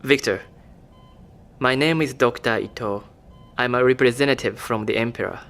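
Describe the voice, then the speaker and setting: A man's voice: mid-range voice, moderate pace, creaky, a bit bright. A man acting in an audio drama as a polite, formal doctor serving as an imperial envoy. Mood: polite, composed.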